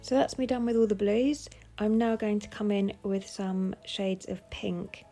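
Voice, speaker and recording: A woman with a cold, sounding bunged up, calmly explaining each step of her craft project in a casual tutorial voice-over. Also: mid-range voice, measured pace, soft background music. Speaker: papercraft designer.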